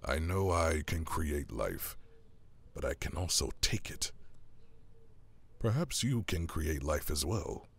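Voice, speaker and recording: A deep, husky god talks like an earnest, worried brother. His gravelly voice swings widely as he coaxes in a casual, excitable way, in audio drama style. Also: slow, long pauses, exaggerated voice. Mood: coaxing.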